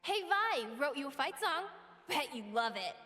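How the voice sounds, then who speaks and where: A woman voicing a cheerful, wholesome young pop star in a fantasy game, bubbly and earnest in an expressive, theatrical way. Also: high-pitched, normal speed, big-room sound, soft background music, exaggerated voice. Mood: confident, teasing. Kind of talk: expressive game character voice lines.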